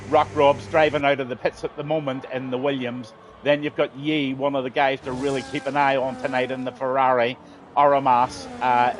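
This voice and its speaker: Brief: middle-aged male sim racing commentator, mid-pitched, relaxed and chatty, voice slightly tired, light background hiss. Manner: chatty, friendly, low-key commentary. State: slightly strained voice. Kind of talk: live stream race commentary.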